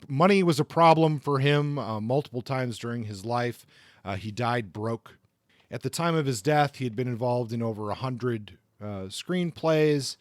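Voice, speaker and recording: A man of medium pitch co-hosts a literary podcast. He works through biographical notes in a conversational, informal way. The sound is dry, with very little room around the voice. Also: no echo. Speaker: podcast co-host. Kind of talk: semi-scripted literary biography talk.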